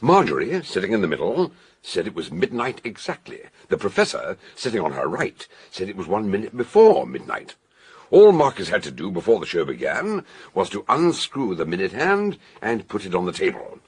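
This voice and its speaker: Blunt old-school detective doctor for radio drama, very husky, voice swings all over. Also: male voice, lowish voice, huge pitch swings, normal speed, full character voice. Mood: explaining. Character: authoritative, blunt, eccentric male amateur detective doctor. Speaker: detective and narrator. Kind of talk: radio drama acting and narration.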